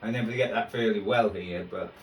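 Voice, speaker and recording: A man's voice: on the low side, fairly quick. A man giving casual, rambling, self-deprecating commentary as a hobbyist host tuning a radio in his kitchen. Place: indoors in a kitchen. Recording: roomy, distant mic, radio music behind. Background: music playing from a shortwave radio.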